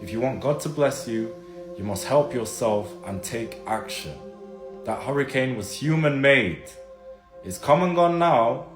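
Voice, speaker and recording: A man's voice: medium pitch, animated, unhurried. A man of Jamaican and Turkish descent living in the UK, urgently warning viewers and repeating his pleas. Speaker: vlogger. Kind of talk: earnest direct-to-camera appeal. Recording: roomy sound with loud music under it.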